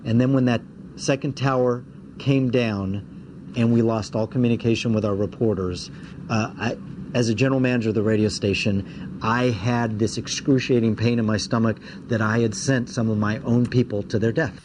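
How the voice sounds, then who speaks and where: A man who manages a radio station, recounting his memories in an interview in a grave, halting way. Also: medium pitch, fairly even intonation, background noise. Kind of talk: recollection in an interview.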